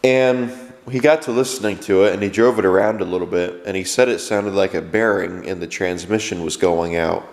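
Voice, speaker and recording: A man's voice: low voice, brisk, few pauses, in a large hall. A man with a stuffy cold, rambling casually to camera about his lawn tractors and restarting his sentences as he goes. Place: workshop.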